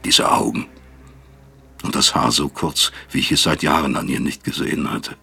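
Brooding father narrator, very low pitch, gravelly, self-accusing, bitterly reflective, radio drama. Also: fairly even intonation, normal speed, sharp tone, faint music behind, exaggerated voice. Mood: haunted, tender. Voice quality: gravelly, husky.

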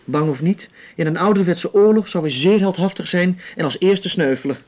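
An actor playing an easygoing young male activist in a naturalistic radio drama, calmly persuasive. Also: sits high, moderate pace, natural voice. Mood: reflective.

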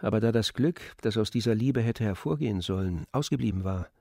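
A man's voice: lowish voice, a little fast, gravelly, a little mellow, no echo. Male literary narrator, dry close sound. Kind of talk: scripted literary narration for radio drama.

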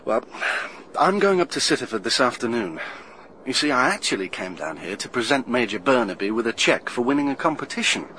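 An actor playing an easygoing young male journalist in a radio drama, chatty and genial in manner. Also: brisk. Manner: chatty and genial, lively pitch. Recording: radio play with faint hiss.

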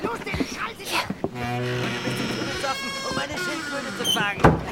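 A man playing an excitable, skeptical pirate crewman with a creaky voice in a German audio drama. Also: very high-pitched, slow, exaggerated voice. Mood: irritated. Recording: loud music under the voice.

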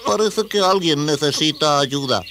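A man's voice: on the high side, moderate pace, a crowd in the background, pitched above the performer's own voice, close to an everyday voice. A man voicing a small female magic spider in a children's story, a wry, bungling little spellcaster. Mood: alert.

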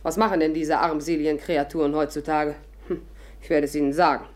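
An actress playing an imperious, curious old stage diva with a declamatory, condescending manner in a 1950s radio play. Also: female voice, sits low, little pitch movement, brisk, close to an everyday voice. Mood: grand, declaiming. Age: older.

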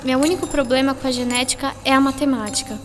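Woman playing Laura, a curious high-voiced schoolgirl. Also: steady tone, quick pace, a little hiss or hum, close to an everyday voice. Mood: curious, chatty. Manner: inquisitive, colloquial. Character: Laura, curious chatty schoolgirl, eager and apologetic. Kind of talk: radio drama acting. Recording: reverberant hall, music behind.

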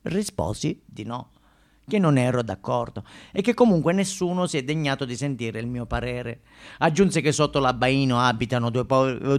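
A male actor plays an aggrieved Sicilian man in a stage monologue. He tells his tale with florid, wry drama and acts out noises and dialogue as he goes. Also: on the high side. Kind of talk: theatrical monologue, storytelling on stage.

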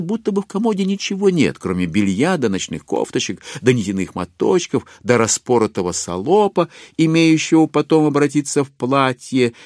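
An actor as the wry storyteller of a classic novel, giving expressive literary narration in an audio drama. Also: male voice, medium pitch, no room sound, studio quality, close to an everyday voice.

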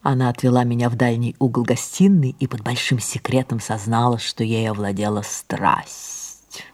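An actress playing an aging, imperious, melancholy French stage star in an audio drama, declaiming theatrically with drawn-out words that drop into breathy murmurs. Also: female voice, extremely low pitch, steady tone, a little mellow. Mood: melancholy, reminiscing. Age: older. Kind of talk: audio drama monologue and dialogue.

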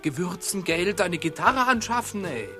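An actor playing a young, complaining sailor in a satirical radio play. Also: male voice, sits high, sing-song, normal speed, pushed and bright, faint music behind, exaggerated voice. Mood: whiny. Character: whiny young sailor, fed-up milk-beard.

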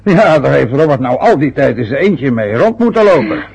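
A gravelly, teasing old father. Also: medium pitch, sing-song, normal speed, a little mellow, highs cut off, full character voice. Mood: jovial. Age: older. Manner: bantering, nosy, chuckles often. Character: jovial, teasing older father who loves cigars. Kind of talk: radio play acting.

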